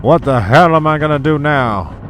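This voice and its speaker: A man's voice: fairly high pitch, lively intonation, normal speed, close to an everyday voice. Man playing a ruthless old oil tycoon. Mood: angry. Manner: commanding, threatening, condescending. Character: ruthless, scheming old oil billionaire and patriarch. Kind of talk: audio drama acting.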